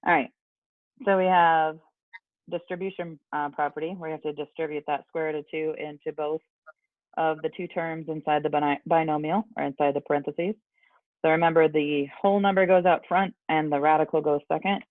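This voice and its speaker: A man's voice: a little high, little pitch movement, brisk, dry recording. A man teaching a casual online math lesson, chatty with rambling asides, his voice scratchy from allergies. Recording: dry, tinny phone-like sound.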